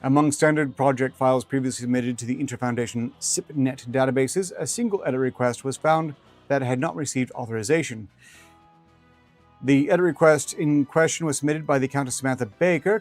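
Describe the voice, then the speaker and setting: A man's voice: mid-range voice, brisk, a little mellow. A man reading fiction aloud as a streamer, with dry, rambling asides in formal wording. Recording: music behind the voice.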